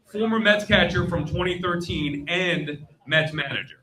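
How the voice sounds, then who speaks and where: A man hosting lively live trivia on stage, blunt and joking, reacting quickly with exclamations. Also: high-pitched, low-quality recording. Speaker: game show host at a fan convention panel. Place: live stage before an audience at a convention.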